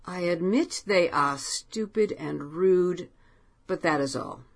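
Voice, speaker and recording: A woman's voice: fairly low pitch, animated, slow, vocal fry, slightly rough, heavily acted. A woman voicing an indignant, proud male novelist in a storybook reading, dismissive in tone.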